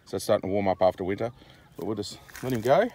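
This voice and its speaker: Australian man, forties, lowish gravelly voice, casual farm vlogger, halting delivery, outdoor phone recording. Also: a little mellow, slight background noise. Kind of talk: casual vlog narration. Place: outdoors on a dry rural farm. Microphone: handheld phone at arm's length.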